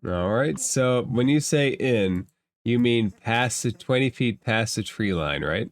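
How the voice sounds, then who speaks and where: A man acts as game master for a tabletop roleplaying session. His voice sits at a middle pitch and sounds dry, with no room around it. He improvises in an informal, conversational way. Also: lively intonation, unhurried, dry recording.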